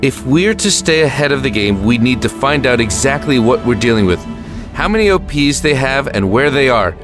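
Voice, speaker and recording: A man's voice: medium pitch, lively intonation, normal speed, close to an everyday voice. A man as a resolute, rallying airborne officer. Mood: firm. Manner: firm, military, rallying. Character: resolute, rousing male Allied airborne officer. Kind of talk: audio drama acting. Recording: music under the voice.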